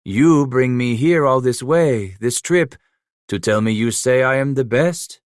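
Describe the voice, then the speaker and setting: A man's voice: medium pitch, a little slow, clear, resonant tone, no echo, clean studio sound, pitched above the performer's own voice, natural voice. A man voicing a giant, scarred frontier killer who speaks broken English, mocking and menacing. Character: giant scarred French-Canadian frontier killer, cunning, playful.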